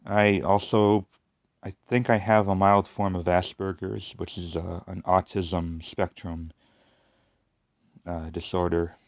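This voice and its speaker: A man with a noticeable lateral lisp talks in a low, rambling voice and keeps restarting his sentences. The recording is dry and a bit dull. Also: flat delivery, gravelly, no room sound. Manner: rambling, halting, many restarts and fillers. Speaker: YouTuber. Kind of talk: casual personal monologue.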